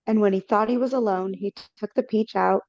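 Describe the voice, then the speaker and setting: A woman's voice: mid-range voice, steady tone, a little fast, a little mellow, no echo. A woman narrating stage directions in a casual way for a live reading over a video call, with slips and self-corrections. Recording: dull lo-fi audio.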